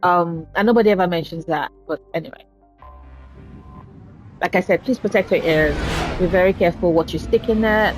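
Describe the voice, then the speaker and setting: A woman casually commentating her own game playthrough, chatty and self-deprecating, speaking low and soft because talking hurts right now. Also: moderate pace, pauses often, music in the background, rough recording. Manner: chatty, self-deprecating, swears at setbacks in play. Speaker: gaming channel host. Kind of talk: casual let's-play commentary.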